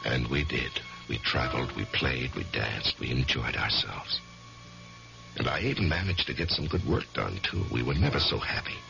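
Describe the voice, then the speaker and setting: A low-voiced, uneasy middle-aged American husband in a vintage radio drama, his delivery swinging widely in pitch. He sounds haunted by guilt, and the old recording is dull, with music behind. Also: animated, moderate pace, gravelly, heavily acted. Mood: brooding, confiding. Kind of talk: 1970s radio drama acting, first-person narration and dialogue.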